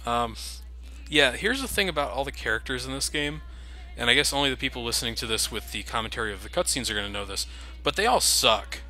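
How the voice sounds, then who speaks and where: A man's voice: mid-range voice, animated, fast, bright, forceful tone, faint music behind. A young man casually commenting over game cutscenes, joking and critical of the game as the host. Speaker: let's play host.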